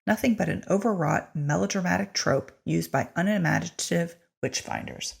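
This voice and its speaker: A woman giving an expressive, wry scripted narration of a story in a husky, creaky voice. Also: fairly low pitch, normal speed. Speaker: audiobook-style reader of a short story.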